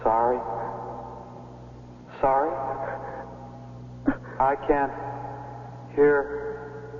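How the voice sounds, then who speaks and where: A dead American soldier haunting an old friend in a vintage radio play. He speaks slowly and reproachfully at a middling pitch, his words trailing long echoes. The sound is tinny. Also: level tone. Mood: accusing, reproachful. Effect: ghostly reverb. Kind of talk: 1970s radio drama acting. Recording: tinny radio, long echo, faint noise.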